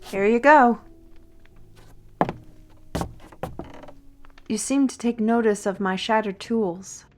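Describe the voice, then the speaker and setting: A woman's voice: fairly high pitch, moderate pace, soft background music, lightly acted. A woman playing a wry, cryptic artist on parole in a fantasy audio roleplay, grudgingly hospitable and speaking with theatrical deliberation. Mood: rueful. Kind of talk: audio roleplay acting addressed to listener.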